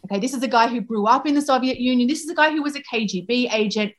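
A woman foreign correspondent answering interview questions in a thoughtful way, with frequent pauses and restarts. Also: on the high side, fairly bright tone.

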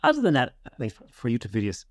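A man's voice: medium pitch, wildly swooping pitch, rapid-fire. A man hosting a podcast, explaining his process in a casual, methodical, step-by-step way. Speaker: podcast host.

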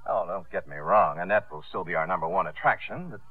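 A man's voice: low-pitched, lively intonation, quick pace. An actor playing a cocky, sarcastic carnival showman with slangy patter in a 1950s radio drama. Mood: excited, dreamy. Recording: muffled, roomy old radio broadcast.

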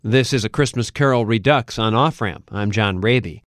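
A man's voice: fairly low pitch, normal speed, no room sound. A man hosting a radio show, reading scripted narration into a close studio mic.

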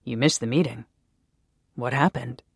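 A man's voice: medium pitch, moderate pace, gravelly, breathy edge, no room sound, clean studio sound. A reader voicing a frustrated male coworker who scolds and swears, his voice rising as he pushes harder. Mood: worried. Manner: scolding, swearing, growing louder.

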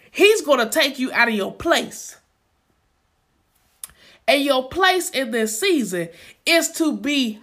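A woman's voice: high-pitched, pushed and bright. A woman co-hosting a faith podcast, exhorting listeners and repeating phrases for emphasis in a preaching style. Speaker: podcast co-host.

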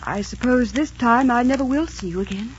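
A woman's voice: a little high, fairly quick, lightly acted. An actress playing a proud, fiery, lovestruck young woman in melodramatic 1940s radio romance style. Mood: tender urgency. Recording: noisy, muffled 1940s radio recording.

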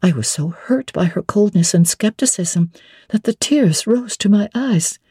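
Mellow woman narrating classic literature. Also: mid-range voice, lively intonation, a little slow, slightly rough, no echo, studio quality. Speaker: narrator and host reading a classic novel. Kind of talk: dramatic first-person literary narration.

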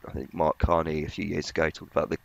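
A man giving conversational market analysis as a financial journalist, in a hesitant, halting way. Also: extremely low pitch, little pitch movement, vocal fry, dull audio.

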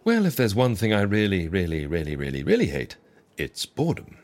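A man playing an excitable, fussy proprietor of a secret agency in the ancient world, theatrical, flustered and courteous, in a comic audio drama. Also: deep voice, fairly quick. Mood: curious.

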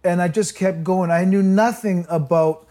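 A man's voice: high voice, steady tone, moderate pace, warm tone. A man from Canada, a musician guest, talking earnestly in a casual interview, rambling with many restarts. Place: remote, calling in from abroad.

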